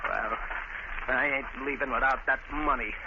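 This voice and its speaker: Man playing a rough, grumbling radio crook. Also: mid-range voice, steady tone, normal speed, lightly acted. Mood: grumbling, bitter. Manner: rough, complaining, slangy. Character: bitter, grumbling male gangster, easily rattled. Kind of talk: 1940s radio drama acting. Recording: muffled, very noisy old radio broadcast.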